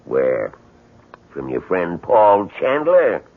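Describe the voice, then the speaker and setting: A stubborn, scornful old landowner in a radio drama. His low, husky voice swings wildly in pitch, gruff and drawling, on a dull 1970s radio recording. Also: huge pitch swings, slow, heavily acted. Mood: mocking, smug. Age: older.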